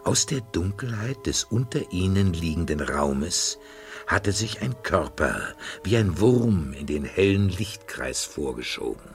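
Very deep, gravelly male narrator. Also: very animated, a little slow, soft background music. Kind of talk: suspenseful scripted audio drama narration.

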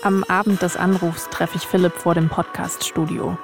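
Female podcast narrator. Creaky, wry delivery of scripted story, light music underneath. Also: on the low side, little pitch movement, moderate pace. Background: music bed.

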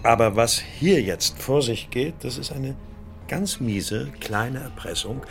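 An actor in a radio play playing a haughty, irritated husband, disdainful and impatient. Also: male voice, mid-range voice, animated, slow pace, vocal fry. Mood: disgusted.

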